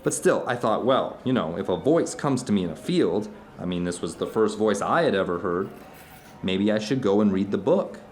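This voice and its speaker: A man's voice: on the low side, animated, moderate pace, close to an everyday voice. An actor playing an introspective man who tells uncanny personal anecdotes to an old friend in an audio drama, rambling, earnest and emphatic. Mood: earnest wonder. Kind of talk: audio drama dialogue, conversational monologue.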